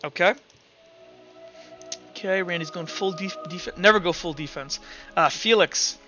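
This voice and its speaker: A man's voice: high-pitched, normal speed, sharp tone, highs cut off. A man narrating a tabletop roleplaying game as game master, in a casual, informal way. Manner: casual, informal, sound-effect-laden descriptions. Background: music.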